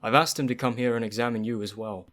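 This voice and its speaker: An actor playing an earnest, devoted young Victorian doctor in a radio play, speaking formally. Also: male voice, medium pitch, fairly even intonation, fast talker, a bit bright, natural voice.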